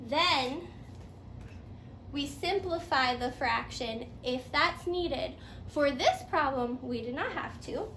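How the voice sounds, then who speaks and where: A woman's voice: high voice, expressive, slow. A woman teaching a playful fairytale math lesson step by step, encouraging her pupil with sing-song questions and repeated answers. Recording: roomy echo, faint background noise.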